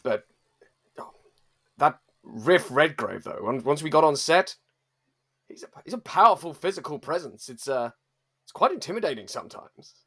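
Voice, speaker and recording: A man playing a vain, boastful celebrity with a husky voice, talking self-assuredly in a mockumentary interview. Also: on the high side, animated, normal speed, long pauses, fairly bright tone, exaggerated voice. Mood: smug.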